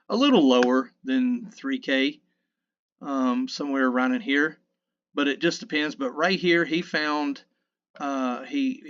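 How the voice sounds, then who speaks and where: A man's voice: a bit bright, muffled recording. Folksy man explaining his mixer. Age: 50s. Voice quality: low mid voice. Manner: rambling, self-correcting, folksy. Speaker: sound engineer teaching mixing. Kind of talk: casual screen-recorded tutorial. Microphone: dynamic vocal mic.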